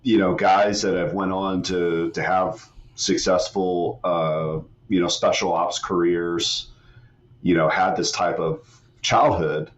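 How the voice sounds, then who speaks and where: Deep-voiced middle-aged man, dull audio. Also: steady tone. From West Virginia. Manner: laid-back, frequent "man" and "you know", halting restarts. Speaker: guest, retired Army master sergeant and author. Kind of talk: casual podcast interview.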